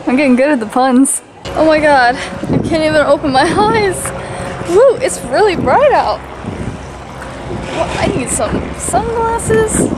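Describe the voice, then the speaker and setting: A woman's voice: sits high, lively intonation, a little slow. A tired woman chatting casually to her vlog viewers. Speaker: vlogger. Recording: clear car and street noise.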